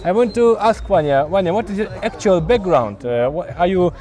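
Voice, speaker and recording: A man with a high yet mellow voice interviews a guest at an outdoor event. He talks haltingly, with many fillers and restarts. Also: animated. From Ukraine. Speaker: YouTube host interviewing at an event. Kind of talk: casual on-site interview.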